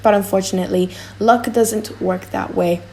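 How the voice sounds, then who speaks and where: A woman's voice: medium pitch, fairly even intonation, normal speed, gravelly, mellow, a little hiss or hum, lightly acted. A woman voicing a proud, ardent prince, a boastful suitor, in a solemn and declamatory audiobook performance. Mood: boastful, wistful. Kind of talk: dramatic audiobook acting.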